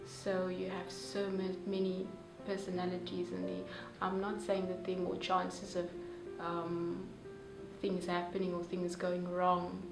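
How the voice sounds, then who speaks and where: A young woman with a low, gravelly voice that stays on one level. She talks in an open, heartfelt, hesitant way. She sounds set back from the mic in a roomy space, with guitar music behind her. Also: monotone, unhurried, far from the mic. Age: young adult. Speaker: young reader reacting to a story. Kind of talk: emotional personal reflection.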